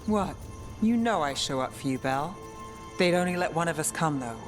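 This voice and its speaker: A woman's voice: sits low, lively intonation, moderate pace. A woman playing a cool, wry and loyal companion in a video game, teasing and guarded but affectionate. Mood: loyal, reassuring. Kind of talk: video game dialogue acting. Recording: clear game music behind the voice. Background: game music.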